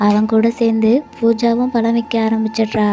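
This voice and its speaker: A woman narrating a cartoon story in an even, storytelling manner. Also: a little high, normal speed. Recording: light music bed.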